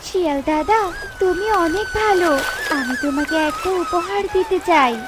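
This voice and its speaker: Woman voicing a gentle high-pitched cartoon mermaid. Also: moderate pace, background noise. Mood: grateful. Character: gentle, pleading young mermaid. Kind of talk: children's cartoon dubbing.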